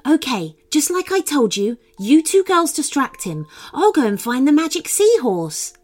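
A woman voices a magical mermaid from a children's storybook. She speaks high and expressively, with earnest urgency, as one pleading for help. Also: very animated, moderate pace, darkish tone. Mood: urgent.

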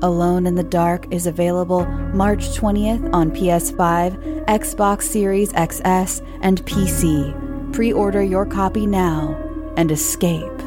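A woman's voice: lowish voice, steady tone, measured pace. A woman announcer delivering scripted promotional narration. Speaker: advertisement announcer. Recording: music bed behind the voice.

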